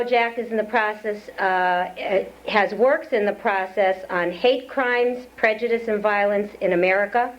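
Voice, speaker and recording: A woman acting as event host, introducing a guest speaker with personal asides before reading his credentials. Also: medium pitch. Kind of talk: formal introduction. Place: community center hall. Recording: lo-fi 1992 lecture tape.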